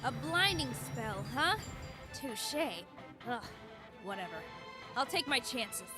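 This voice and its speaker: A brash, mocking young mage. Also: female voice, sits high, big pitch swings, takes it slowly, exaggerated voice. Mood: defiant. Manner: mocking, defiant. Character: brash taunting young female student mage. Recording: game audio with faint music.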